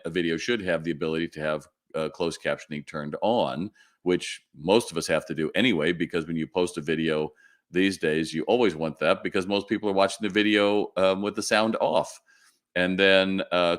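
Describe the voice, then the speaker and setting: A man with a slightly raspy voice hosting a casual live Q&A, giving plain-spoken advice and addressing listeners directly. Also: deep voice, a bit bright. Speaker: live Q&A host and retail business advisor. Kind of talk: casual live-stream advice, answering viewer questions.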